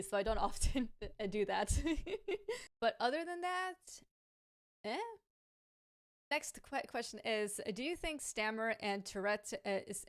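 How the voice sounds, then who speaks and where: A woman with a smooth, clear voice talks casually to camera, answering viewer questions. She stammers often, repeating sounds and restarting words, and stays thoughtful and frank with light laughs. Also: mid-range voice.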